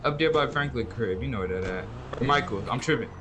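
A man's voice: mid-range voice, lively intonation, a little fast, background noise. A man chatting casually in heavy slang as he plays an online game. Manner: slangy, repeats "you feel me". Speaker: fellow player in online game. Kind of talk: casual chat while gaming.

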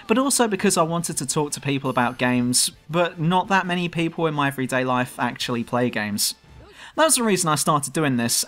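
A man's voice: fairly high pitch, a bit bright. A man with a southern English accent, reading a scripted, self-mocking commentary for his gaming channel. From southern England. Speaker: YouTube gaming channel host. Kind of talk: scripted commentary over gameplay.

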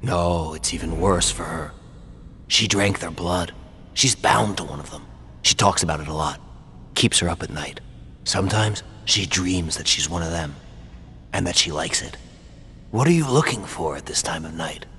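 A man's voice: sits low, normal speed, fairly bright tone. A male actor voicing a weary, remorseful former thrall who confides his troubles, in a video game. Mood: troubled, confiding. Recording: long reverb, faint noise.

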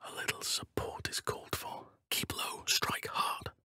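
An actor playing a gentle, solemn male elf druid healer in a video game, speaking in earnest, measured, formal phrases. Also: no echo, whispered, clean studio sound. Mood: hushed. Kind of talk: video game character voice lines.

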